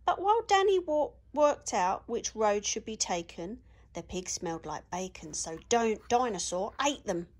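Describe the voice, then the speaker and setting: A woman reads a rhyming picture book aloud to children. Her pitch swings in a lively sing-song, and she takes her time over the verse, with expressive storytelling throughout. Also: a little slow. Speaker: teacher reading aloud.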